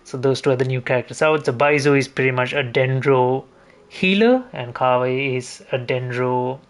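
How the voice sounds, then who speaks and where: A man's voice: mid-range voice, moderate pace. A man chatting casually as a live game streamer, rambling and repeating phrases as he thinks.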